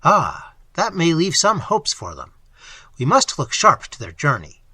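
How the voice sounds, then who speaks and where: Gallant highwayman leader, clipped and in command, read by a man. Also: wildly swooping pitch, measured pace, pushed and bright, no room sound, exaggerated voice. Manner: crisp and commanding. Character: bold gentleman highwayman captain, commanding.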